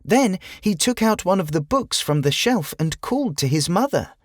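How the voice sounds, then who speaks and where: A man reading a scripted children's audiobook as narrator, in a measured, expressive storytelling way. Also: high voice, no room sound, clean studio sound.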